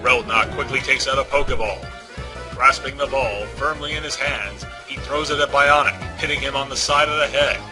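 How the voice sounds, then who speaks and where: A man's voice: mid-range voice, moderate pace. A man narrating a comic-book story as an audiobook, reading from a script. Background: music.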